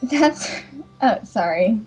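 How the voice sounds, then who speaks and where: A woman's voice: fairly high pitch, animated, takes it slowly, darkish tone. A woman making casual banter between takes. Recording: dull microphone, faint music behind.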